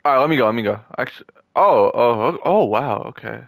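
A man's voice: mid-range voice, lively intonation, fairly quick, gravelly, dry recording, clean studio sound. Joking young man trash-talking a friend. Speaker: gamer. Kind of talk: casual gaming chat with a friend.

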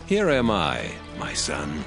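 A man playing a grave, devout old father in a dramatized scripture reading, slow and deliberate. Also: very deep voice, huge pitch swings, creaky, music in the background, heavily acted.

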